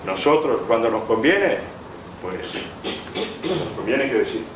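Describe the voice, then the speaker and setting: A man's voice: medium pitch, big pitch swings, takes it slowly. A man giving an academic lecture, rambling and rephrasing with frequent hesitations. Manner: discursive, rephrases often, many "eh" fillers. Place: lecture hall. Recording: echoey hall, distant mic, background noise.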